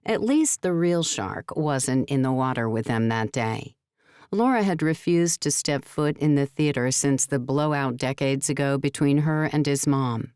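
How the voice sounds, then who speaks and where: A woman's voice: very deep voice, animated, a little slow, a little mellow, clear, resonant tone, no echo, studio quality. A woman reading scripted story narration as an audiobook narrator.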